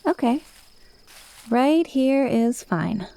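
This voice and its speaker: Slow, mellow, teasing witch played by a woman. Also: sits high, expressive, creaky, full character voice, poor audio. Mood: relaxed, pleased. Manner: conversational, teasing, coaxing. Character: cute, playful young witch, confident and coaxing. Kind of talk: ASMR roleplay, spoken to the listener.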